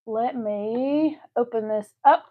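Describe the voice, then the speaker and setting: A woman's voice: fairly high pitch, slow pace, phone-quality sound, a little hiss or hum. A woman with a Southeast Texas accent hosting a live craft stream, chatting homely with viewers as she paints. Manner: chatty, homey, talks to viewers while painting. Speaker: craft channel host.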